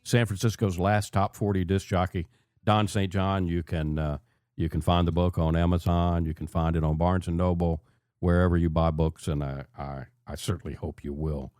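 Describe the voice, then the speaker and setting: An older man hosting a radio interview show in a conversational, folksy way, with halting asides as he works toward his questions. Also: low-pitched, level tone, a little mellow, dry recording, studio quality.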